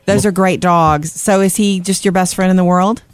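A woman's voice: lowish voice, fairly even intonation, normal speed, dry recording. A woman hosting a radio show, chatting enthusiastically with callers and reading entertainment news.

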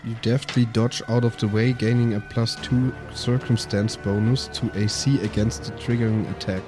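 A man of medium pitch takes part in an improvised tabletop game as a player. He talks casually and conversationally. Music plays clearly behind his voice. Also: little pitch movement, moderate pace. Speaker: tabletop role-play player.

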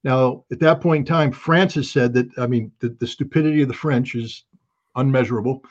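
A man's voice: medium pitch, brisk, highs cut off. A man giving blunt, emphatic and scornful political commentary as an interview guest.

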